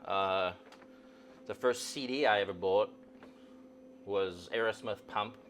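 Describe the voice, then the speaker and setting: A man's voice: fairly low pitch, slow pace, fairly bright tone, a little hiss or hum. A man with a South African accent chatting casually as an interview guest, playful and rambling, often repeating words. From South Africa and Zimbabwe. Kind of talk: casual chat.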